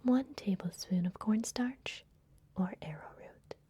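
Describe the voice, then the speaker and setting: A woman playing a gentle, secretive vampire librarian in an ASMR fantasy roleplay, speaking in a soft, breathy, confiding whisper. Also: medium pitch, a little hiss or hum, lightly acted. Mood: cozy, instructive. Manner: gentle, hushed, confiding, unhurried.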